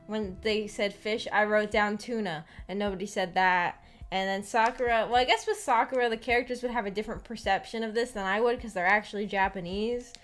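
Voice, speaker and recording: A woman with an American accent chatting casually to viewers as a book club streamer, in a giggly, halting, tentative manner. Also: medium pitch, fairly even intonation. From United States. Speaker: streamer hosting a visual novel book club. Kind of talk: casual chat, discussing a story with viewers. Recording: voice over background music. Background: background music.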